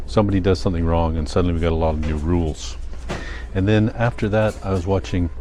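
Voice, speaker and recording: An older man with a Canadian accent, chatting casually in a rambling vlog, thinking aloud in a halting, self-correcting way. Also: sits low, steady tone, mellow, a little hiss or hum. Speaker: vlogger.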